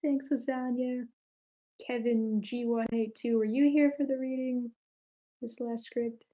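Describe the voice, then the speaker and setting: Higher-pitched, softly mellow woman moderating. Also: steady tone, measured pace, rough recording. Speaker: host of an online screenplay table read. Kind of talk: casual moderating of group feedback.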